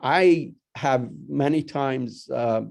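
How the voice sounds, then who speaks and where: An older man whose first language is Persian, a college dean giving a halting formal address with many restarts. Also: medium pitch, gravelly, darkish tone.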